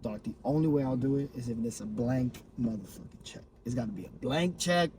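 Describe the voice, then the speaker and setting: A young man from Miami, a comedian guest in casual podcast chat, telling stories in a lively, swearing way. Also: medium pitch, a little fast, slight background noise. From Miami, Florida. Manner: animated, swearing storyteller.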